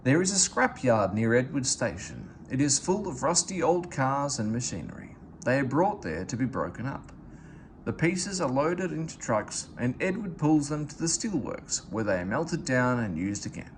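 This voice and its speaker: A man narrating a children's storybook aloud, in a gentle, expressive way. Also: medium pitch, moderate pace, a little hiss or hum.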